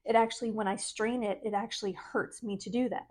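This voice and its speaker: A middle-aged woman with a soft voice, talking earnestly and casually to camera as a spiritual vlogger. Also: mid-range voice, steady tone, brisk. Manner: earnest, chatty, laughs between thoughts.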